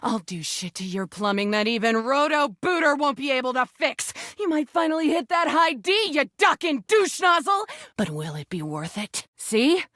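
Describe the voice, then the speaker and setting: A woman's voice: sits high, expressive, normal speed, few pauses, fairly bright tone, no echo, clean studio sound. A woman voicing a cocky, foul-mouthed rubber duck in a video game, brash, mocking and sarcastic. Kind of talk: video game character voice acting.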